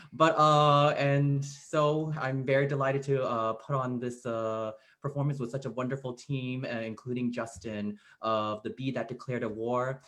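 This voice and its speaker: Man, medium pitch, hesitant phrasing, playful wordiness, informal director's speech. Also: fairly bright tone. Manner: halting, playfully wordy. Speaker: theater director.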